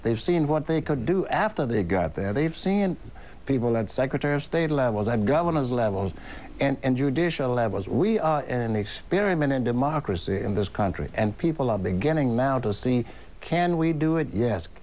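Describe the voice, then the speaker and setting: An older man speaking as a guest in a TV studio, measured and emphatic, answering his own rhetorical questions. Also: mid-range voice, expressive, darkish tone. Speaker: panel guest, former governor. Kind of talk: TV panel commentary. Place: TV studio at a table.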